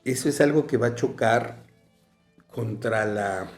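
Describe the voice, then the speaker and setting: A man's voice: medium pitch, measured pace, soft background music. A Mexican man hosting a political podcast, talking in long rambling turns full of fillers. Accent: Mexican Spanish. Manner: rambling, many fillers and restarts. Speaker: podcast host. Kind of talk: political conversation.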